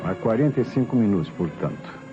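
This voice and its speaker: An actor playing a probing, deliberate police commissioner with a Brazilian accent in an old radio crime drama. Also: male voice, on the low side, lively intonation, natural voice. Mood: measured, analytical. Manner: deliberate, authoritative, questioning. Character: brisk, probing police commissioner, a grown man. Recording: muffled vintage radio recording, music behind.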